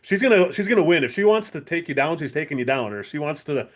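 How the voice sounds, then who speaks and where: A man's voice: fairly high pitch, lively intonation, fast, fairly bright tone. A man with an American accent chatting casually as a podcast co-host.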